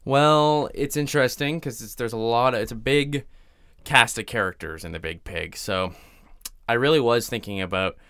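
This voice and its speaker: A man's voice: medium pitch, moderate pace, fairly bright tone, smooth, no room sound, clean studio sound. A man co-hosting a podcast in casual, conversational chat. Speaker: podcast co-host.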